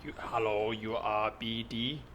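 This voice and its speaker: A man plays a cute-girl killer robot from the future in an improv comedy. The voice is bright and forceful, stilted and full of self-importance, quick to get exasperated. There is clear background noise with an ambient soundscape. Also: on the low side, fairly even intonation. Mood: frustrated, insistent. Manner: stilted, grandiose, easily exasperated. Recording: noisy track with ambient soundscape.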